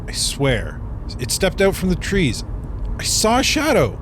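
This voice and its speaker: A man playing a clueless slow-talking guy. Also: high voice, wildly swooping pitch, noisy background, full character voice. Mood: scared. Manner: rambling, unsure, full of "like". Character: clueless, easygoing young man named Carl. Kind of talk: podcast radio drama acting.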